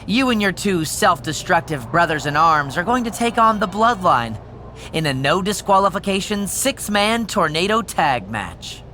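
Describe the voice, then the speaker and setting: A man playing a wrestling official delivering news in a game cutscene, in a bright, lively manner. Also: sits high, moderate pace, slight background noise, exaggerated voice. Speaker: match official.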